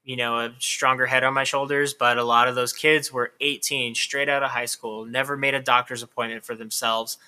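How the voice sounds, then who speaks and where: Author guest in his thirties; sharp, bright voice; open and talkative. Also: medium pitch, flat delivery, brisk, hardly any pauses, smooth. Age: 30s. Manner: candid, talkative, lots of "like" fillers. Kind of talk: casual interview chat.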